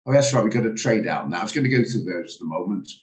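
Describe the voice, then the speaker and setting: A man, hoarse from a cold, hosting a live trading room and talking through charts with hesitant, stammering restarts. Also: lowish voice, expressive, rapid-fire. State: hoarse, recovering from flu. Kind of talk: live market commentary on a video call.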